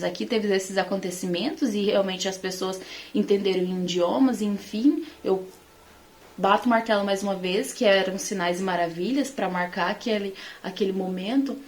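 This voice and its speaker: A woman with a Brazilian accent and a mid-pitched voice teaches scripture in an informal way. She talks conversationally to her listeners, leaning on key words for emphasis. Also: darkish tone. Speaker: Bible teacher. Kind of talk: informal Bible teaching talk to online followers.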